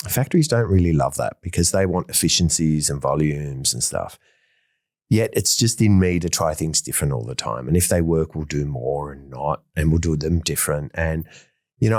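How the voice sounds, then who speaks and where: Middle-aged man, deep bass voice, dry close mic, warm rambling guest. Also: dry recording. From Australia. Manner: enthusiastic, rambling, many fillers and restarts. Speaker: fashion designer, interview guest. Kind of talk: casual interview conversation.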